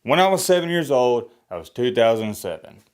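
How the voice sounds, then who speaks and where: A young man from the talks college football straight to his viewers in a loose, rambling way. His pitch swings widely, climbing sharply when he makes a point and dropping low and heavy with a sigh when he gets resigned. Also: a little fast, smooth. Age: 20s. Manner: animated, rising pitch when emphatic, sighing. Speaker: sports commentator and fan vlogger. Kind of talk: rambling casual commentary to camera.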